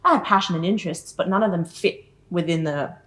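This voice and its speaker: A woman's voice: lowish voice, fast talker, a bit bright. A woman in her late twenties from Western Sydney with an Australian accent, speaking as an interview guest, open and reflective, laughing easily. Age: 20s. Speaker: yoga teacher and guest. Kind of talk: casual interview chat. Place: studio lounge.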